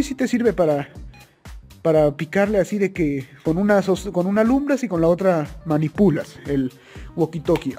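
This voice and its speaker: High-voiced man, chatty vlogger. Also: mellow. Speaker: video host. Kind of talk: casual product-test vlog. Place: plain indoor room, also outdoors at night.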